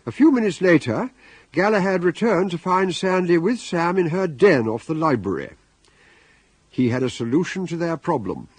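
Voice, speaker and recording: A man's voice: on the high side, expressive, normal speed, husky. A man with a British accent giving wry scripted narration as the storyteller of a radio comedy. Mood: wry, narrating.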